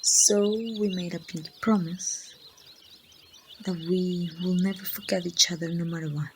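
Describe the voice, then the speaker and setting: A woman playing a grieving young woman confiding in her lost best friend in a halting, wistful ASMR roleplay monologue. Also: on the low side, steady tone, slight background noise. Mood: tender, nostalgic. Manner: slow, halting, wistful storytelling. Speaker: amateur voice actor.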